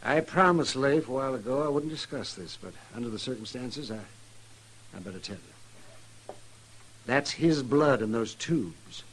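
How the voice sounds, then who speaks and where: Grave Old West physician, rough husky tone, very expressive, vintage radio drama style. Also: male voice, mid-range voice, unhurried, low-quality recording. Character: candid, grave small-town frontier doctor. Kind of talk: 1950s radio western acting.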